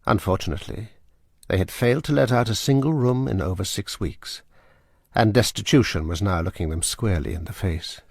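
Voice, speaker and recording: A man narrating a period radio drama in a measured, grave storytelling manner. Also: fairly low pitch, creaky, no room sound, studio quality.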